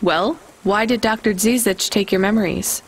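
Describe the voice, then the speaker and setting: An actress playing a practical, inquisitive young courier in a video game, talking in a wry, matter-of-fact way. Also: female voice, medium pitch, normal speed, husky. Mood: wry, probing.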